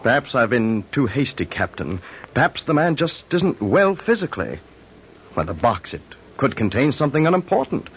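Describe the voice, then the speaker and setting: A slightly noisy, muffled old radio recording carries a polite, uneasy gentleman passenger. He speaks earnestly and courteously in horror-serial style, with very lively intonation. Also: mid-range voice, moderate pace. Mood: polite, reflective. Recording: muffled vintage radio recording, faint noise.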